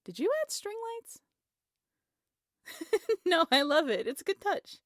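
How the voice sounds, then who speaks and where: Casting: actress as affectionate, teasing young woman, anxious at heart, for intimate roleplay audio drama; high, very expressive voice, dry close sound. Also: a little slow, dry recording, full character voice. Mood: playful, teasing.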